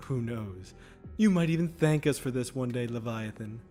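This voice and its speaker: An actor playing a mischievous, flirtatious male demon with a teasing, menacing, theatrical manner and languid drawn-out phrasing, in an audio drama. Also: medium pitch, dark tone, soft background music, close to an everyday voice. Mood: smug warning.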